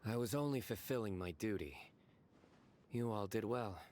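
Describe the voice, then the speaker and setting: An actor playing a cold, stern lawman in a video game, speaking in a measured, curt, deadpan way. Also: on the low side, a little hiss or hum, close to an everyday voice. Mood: calm, formal. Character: stern, cold male law enforcer, calmly menacing.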